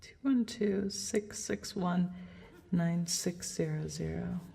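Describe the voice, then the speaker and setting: A woman's voice: on the low side, slow, gravelly, mellow. A woman speaking spontaneously and calling out on a recording she made herself. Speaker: person calling out for help. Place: inside a hotel room closet. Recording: noisy playback of hotel closet recording.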